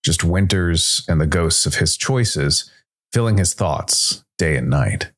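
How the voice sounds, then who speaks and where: Deep-voiced man narrating dramatic fiction. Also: animated, takes it slowly, a bit bright. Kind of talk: dramatic scripted sci-fi story narration.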